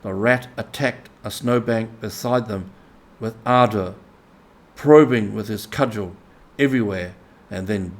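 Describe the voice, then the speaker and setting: A man reading a story aloud as scripted narration, as an audiobook narrator. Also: medium pitch.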